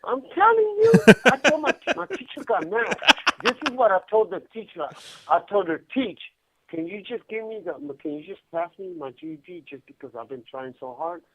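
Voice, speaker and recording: A man's voice: high voice, moderate pace, dry recording. A man telling stories casually over the phone, acting out exchanges as he goes. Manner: animated, acting out exchanges as he talks. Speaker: regular phone-in guest calling from prison. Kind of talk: casual phone chat and storytelling. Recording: phone call, dry sound.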